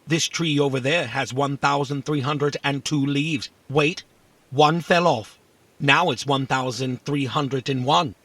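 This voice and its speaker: An actor playing a small, talkative robot in a kids' audio drama, literal, fussy, indignant and boastful. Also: male voice, medium pitch, unhurried, a bit bright. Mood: proud, matter-of-fact. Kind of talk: scripted children's audio fiction acting.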